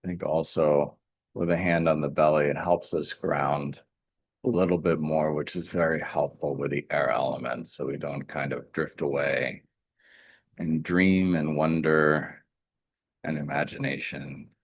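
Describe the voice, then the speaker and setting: A man with a very deep, low voice leads a guided meditation. He speaks calmly and gently, leaving deliberate pauses between phrases. The sound is close and dry. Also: slightly rough, no echo, lo-fi sound. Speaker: meditation teacher.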